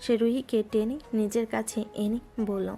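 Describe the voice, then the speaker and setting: A woman narrates a love story in a gentle, scripted storytelling style. Her voice sits a little high. Soft music plays behind her. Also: steady tone, moderate pace, creaky. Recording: music bed underneath.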